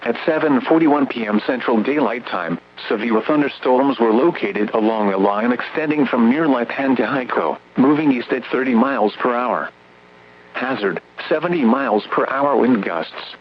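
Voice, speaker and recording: A synthetic man's voice reading a formal, measured, scripted emergency weather bulletin as an automated announcer over weather radio. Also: medium pitch. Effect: weather radio broadcast. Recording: muffled weather radio, hum and static.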